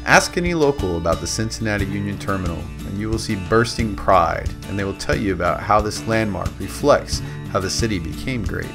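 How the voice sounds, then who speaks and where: A man with a very low voice reads a corporate voice-over in a steady, measured way. Guitar music plays clearly beneath him. His delivery is even and unhurried. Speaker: narrator.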